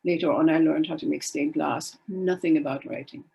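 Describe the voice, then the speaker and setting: A woman's voice: sits low, steady tone, normal speed, gravelly, warm tone, low-quality recording. An older woman from Germany whose first language is Polish, telling her life story in a chatty, wry and self-deprecating way on a video call. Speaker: guest speaker. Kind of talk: casual storytelling talk.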